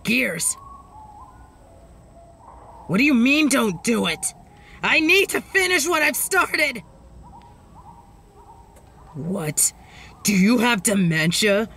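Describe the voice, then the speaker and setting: A woman's voice: a little high, big pitch swings, slow pace, pauses often, slight background noise, exaggerated voice. A woman voicing a bitter, sardonic, vengeful supervillain in a dramatic audio roleplay, theatrical and menacing. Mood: furious, indignant. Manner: theatrical, menacing, swinging from hushed to shouted.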